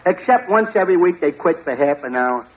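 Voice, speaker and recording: An actor playing a dopey, good-natured barfly pal with slangy speech in an old-time radio comedy. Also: male voice, on the high side, animated, fairly quick. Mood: dim, plaintive. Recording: noisy vintage radio recording.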